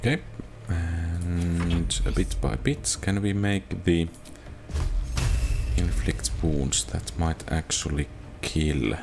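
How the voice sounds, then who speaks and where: A man's voice: low-pitched, takes it slowly, vocal fry. A man giving casual commentary while playing a video game, thinking aloud in a muted way. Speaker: gamer narrating own playthrough. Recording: game music behind the voice. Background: video game music.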